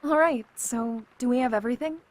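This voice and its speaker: A woman acting as a take-charge leader in an audio drama. Her voice is fairly high, brisk and organised, with a dry sardonic edge. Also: lightly acted. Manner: organising, dryly sarcastic.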